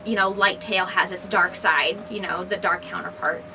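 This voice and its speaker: A woman's voice: mid-range voice, fairly even intonation, normal speed. A woman author talking casually and enthusiastically about her work, in a rambling, informal way. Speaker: fantasy author. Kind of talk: casual book discussion. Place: indoors in a bookstore. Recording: noisy room recording, background ambience.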